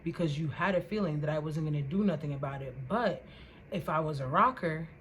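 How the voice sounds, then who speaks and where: A young woman vlogger with a loud voice, ranting casually to the camera in an animated, indignant way and repeating words for emphasis. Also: low voice, a little fast, slight background noise.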